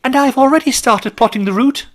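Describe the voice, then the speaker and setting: A man putting on a high, excitable falsetto for a chattering friend in a spoken story. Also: a little fast. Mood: excited.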